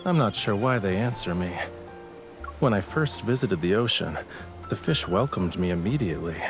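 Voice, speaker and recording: A man's voice: fairly low pitch, expressive, normal speed. A man playing a teasing, pouty and smug young love interest in a romantic audio drama. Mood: reflective. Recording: music under the voice. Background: background music.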